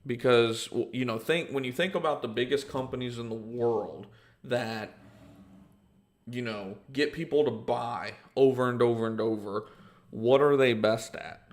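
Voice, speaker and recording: A young man, talking loosely on a livestream. His voice has a gravelly fry at the bottom, and he rambles through viewer comments with plenty of "um" and "you know". His pitch sits in an ordinary middle range. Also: a little slow. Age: 20s. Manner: rambling, reads out comments, many fillers and restarts. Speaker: host answering viewer questions. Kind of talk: casual livestream Q&A. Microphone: livestream microphone.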